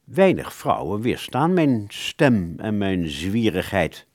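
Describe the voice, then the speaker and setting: A smug, roguish musician in a radio play, with a deep voice that swings widely in pitch. He draws words out theatrically, recorded dry. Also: sing-song, takes it slowly, darkish tone, no room sound, heavily acted. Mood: self-pitying, smug. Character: roguish, self-satisfied male clarinettist recounting his exploits. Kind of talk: radio play storytelling in the first person.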